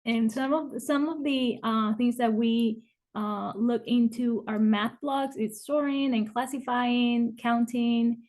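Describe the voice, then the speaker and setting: A woman's voice: a little high, steady tone, a little mellow. A woman kindergarten teacher from Ecuador, introducing herself and listing the daily schedule and math topics, with frequent uhs. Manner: rattles off schedules quickly with uh fillers. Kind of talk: presenting a school info video.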